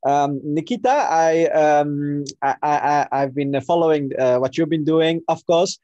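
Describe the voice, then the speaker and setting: A man's voice: fairly high pitch, measured pace, warm tone, no room sound, dull audio. A man from Afghanistan moderating an online panel, hesitant with many fillers and restarts.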